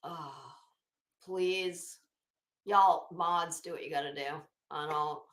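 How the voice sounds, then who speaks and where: A woman's voice: sits low, steady tone, takes it slowly, fairly bright tone. A woman hosting a livestream, giving a theatrical, sarcastic reading with off-the-cuff commentary. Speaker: livestream host. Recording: echoey, roomy sound.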